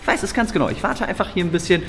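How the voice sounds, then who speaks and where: A man's voice: high-pitched, lively intonation, racing, fairly bright tone. A young man talking playfully and casually to the camera as a vlog host. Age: young adult. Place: small studio room, basement indoors. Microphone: handheld camera at arm's length. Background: background music.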